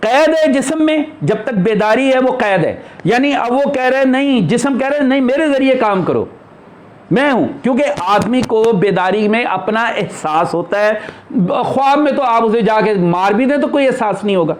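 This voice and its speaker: A man's voice: very high-pitched, expressive, normal speed. A man speaking as a spiritual teacher in an informal religious discourse, explaining emphatically and posing rhetorical questions.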